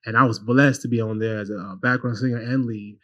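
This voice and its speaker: A man in his twenties, a guest minister, talking about his life in a casual, animated way, often repeating and restarting. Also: medium pitch, quick pace, highs cut off. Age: 20s. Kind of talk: casual interview talk.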